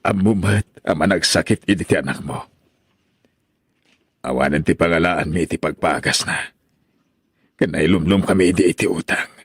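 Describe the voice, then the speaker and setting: A guilt-ridden older brother confesses in an audio drama, acted by a man. His voice is low and husky, with halting apologies in a dry recording. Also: no echo. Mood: ashamed. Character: remorseful older brother confessing a grave wrong. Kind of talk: amateur audio drama acting.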